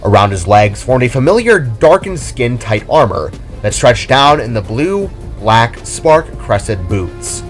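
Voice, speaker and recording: A man's voice: mid-range voice, big pitch swings, a little slow. A man narrating a scripted audio drama as storyteller. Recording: background music under the voice.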